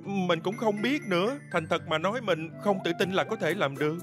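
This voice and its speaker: An actor voicing a shy, earnest little theatre truck who dreams of performing, polite and expressive, in a cartoon dub. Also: medium pitch, brisk, music in the background, close to an everyday voice. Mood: hesitant.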